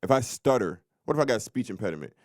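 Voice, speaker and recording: A man's voice: mid-range voice, expressive, rapid-fire, no echo, studio quality. A man co-hosting a podcast who talks emphatically, laughs often and repeats his punchlines. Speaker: podcast co-host. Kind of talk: casual podcast chat.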